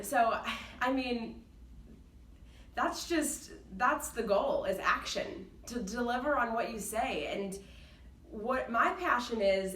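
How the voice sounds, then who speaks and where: A woman giving an earnest, enthusiastic unscripted pitch about workplace values, with a loud voice. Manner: enthusiastic, earnest, says she has a loud voice. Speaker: employee applying to a company culture club. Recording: roomy, slightly distant mic with faint background noise.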